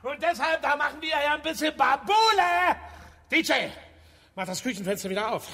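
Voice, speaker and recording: Sneering young thug, raspy, swings high, big reverb, radio play. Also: male voice, animated, normal speed, pushed and bright, exaggerated voice. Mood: taunting, aggressive. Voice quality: raspy, creaky. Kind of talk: radio drama acting. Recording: long hollow reverb.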